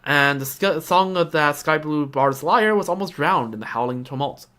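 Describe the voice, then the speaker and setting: A man who speaks English as a second language, streaming a game with casual, halting commentary and lore reading. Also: on the high side, expressive, a bit bright. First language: not English. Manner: halting, casual, reacting aloud.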